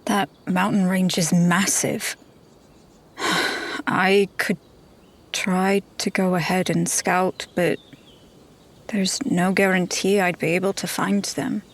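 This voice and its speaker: A woman's voice: fairly low pitch, flat delivery, takes it slowly, long pauses. A woman playing a blunt, loyal fantasy adventurer in a tabletop roleplaying game. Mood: weary. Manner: direct, firm.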